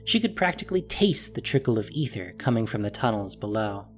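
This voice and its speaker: A man's voice: fairly low pitch, very animated, fairly quick. A man reading scripted story narration as the narrator of an audio drama. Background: music.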